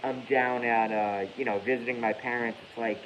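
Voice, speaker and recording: A man's voice: medium pitch, steady tone, normal speed, fairly bright tone. A man in his thirties from Massachusetts, ranting casually on a live stream in a rambling, profane way that often corrects itself. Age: 30s. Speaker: YouTube streamer. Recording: dull lo-fi with faint hiss.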